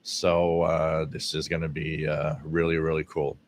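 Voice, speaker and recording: Guy from quebec with a really low scratchy voice, chatty livestream host, lots of uhs. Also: flat delivery, measured pace. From Quebec, Canada. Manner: rambling, many fillers and restarts. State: scratchy, hoarse voice. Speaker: radio hobby livestream host. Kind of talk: casual live stream chat. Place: home radio room with air conditioning running. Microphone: directional microphone.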